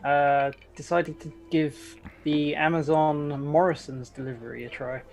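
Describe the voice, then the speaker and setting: A man co-hosting a podcast in casual chat, with a halting, dry way of talking. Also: fairly high pitch, flat delivery, creaky, darkish tone, faint music behind. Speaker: podcast co-host.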